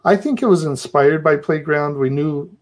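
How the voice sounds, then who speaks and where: A middle-aged man presents slides over a video call in a loose, chatty way. His voice sits a little higher than most men's, and he keeps the talk casual and good-humored. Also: normal speed. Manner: relaxed, chatty, laughs at himself.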